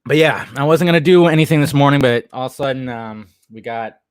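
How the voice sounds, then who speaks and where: A young man with a hoarse, slightly deep voice talks loosely and rambles as he reads his live chat aloud. His tone sits in an ordinary middle pitch. The sound is close and dry, with no room echo. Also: fast talker. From Southern California. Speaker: live stream host. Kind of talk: casual live stream chat. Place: at home in his apartment.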